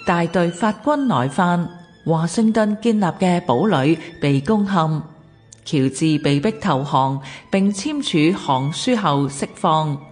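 A woman with a Hong Kong accent reading scripted documentary narration in a measured, formal manner. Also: fairly low pitch, animated, darkish tone, faint music behind.

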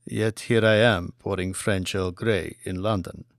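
A male narrator plays a powerful Saudi sheikh in a thriller audiobook. He speaks very slowly and deliberately, with formal courtesy and quiet menace underneath. The sound is dry, with no room around it. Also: low voice, steady tone, no echo, voice pushed down. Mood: probing, formal.

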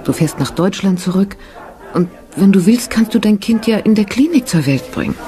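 A woman plays a caring, practical friend in a radio drama. Her mid-range voice swings widely in pitch, quick to show alarm. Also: expressive, dark tone, lightly acted. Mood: reassuring. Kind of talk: German radio drama acting.

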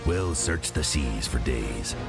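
Extremely deep, gravelly male narrator. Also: lively intonation. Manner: measured, dramatic, suspenseful. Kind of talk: dramatic scripted storybook narration. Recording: loud music under the voice.

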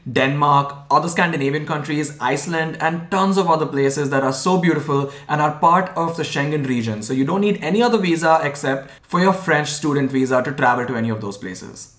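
A young man in a talking-head vlog, clearly and earnestly walking through his points one by one, recorded indoors in front of a camera. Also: a little high, a bit bright, roomy sound. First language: English. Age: young adult. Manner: clear, earnest explainer, lists points in order. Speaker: vlogger. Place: small indoor room by a window. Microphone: camera framed at chest level.

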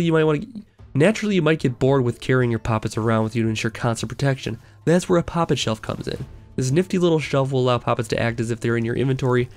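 A man's voice: medium pitch, darkish tone. A man hosting a gaming video, talking casually through his play while getting over a cold, thinking aloud with lots of fillers. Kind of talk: casual let's play commentary.

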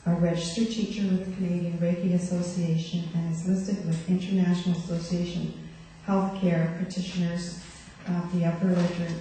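A soft-spoken middle-aged woman speaks hesitantly at a chapel podium, often pausing on fillers. Her voice is mellow and a bit low, picked up from far off in an echoey hall with faint noise. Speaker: chapel service introducer. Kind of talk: church service introduction. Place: hall stage with curtains. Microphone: podium mic, far camera.

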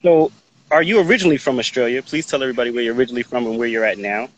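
A man's voice: mid-range voice, very fast, dull audio, a crowd in the background. A man in his forties hosting a live video call chat, upbeat and laughing often, talking into a phone held at arm's length outdoors. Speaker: host. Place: outdoors in a sunny yard.

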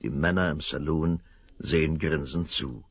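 An older man tells a children's story in a measured narrator's voice. His voice is deep, gravelly and husky, rising and falling with a lively pitch, recorded dry and close. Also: dry recording. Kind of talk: scripted narration.

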